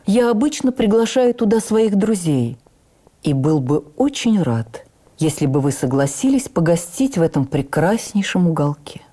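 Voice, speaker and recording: A woman voicing a courtly older male opera singer, gallant and coquettish, with an unhurried, gently persuasive manner in a radio memoir. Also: high-pitched, sing-song. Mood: gallant, inviting. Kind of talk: acted quote within a radio memoir reading.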